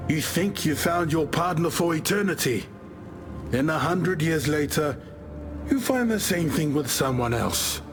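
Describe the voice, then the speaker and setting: Expressive, easygoing island warrior chieftain. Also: male voice, a little high, a little slow. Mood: reflective, wistful. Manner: wry, expressive storyteller. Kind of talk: video game character dialogue. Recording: music behind the voice. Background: game music.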